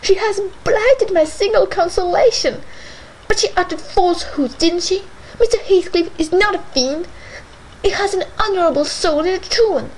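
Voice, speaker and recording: Brief: tearful, wailing young lady, voiced by a woman reader, high and pleading. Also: expressive, normal speed, hardly any pauses, a little hiss or hum, rough recording. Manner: wailing, plaintive, high and loud.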